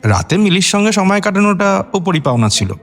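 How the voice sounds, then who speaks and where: A self-assured young poet, highish voice. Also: male voice, sing-song, fairly quick, fairly bright tone, faint music behind, heavily acted. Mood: firm, defensive. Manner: articulate, self-possessed, mixes in English words. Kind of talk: radio drama acting.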